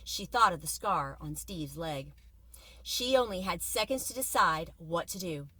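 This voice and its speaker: A woman reading a children's book aloud from inside a car, with expressive, suspenseful dramatic narration like a teacher. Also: on the low side, measured pace, breathy edge, low-quality recording. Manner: expressive, suspenseful storytelling with varied volume.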